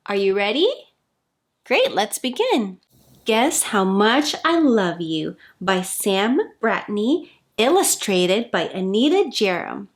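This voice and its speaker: Animated woman reading a children's storytime. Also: mid-range voice. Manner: addresses children directly, sing-song emphasis. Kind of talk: expressive read-aloud for children.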